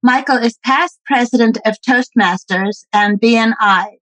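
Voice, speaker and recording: A woman host with a slightly high voice reads a guest's bio, then asks clear, measured questions. Her sound is dry and close to the mic. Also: a bit bright, no room sound, poor audio. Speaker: interview host. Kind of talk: reading an introduction, then asking questions.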